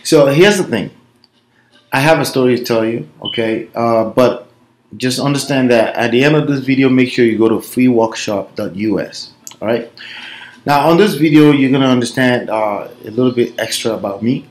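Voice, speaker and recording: Nigerian man in his 30s, mid-pitched, upbeat coaching vlog, recorded on a webcam in a small echoey room at home. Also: normal speed, a little hiss or hum. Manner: energetic, emphatic, conversational with frequent tag questions. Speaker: online business coach. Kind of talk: motivational vlog talk. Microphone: laptop webcam mic. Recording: roomy echo of a small room.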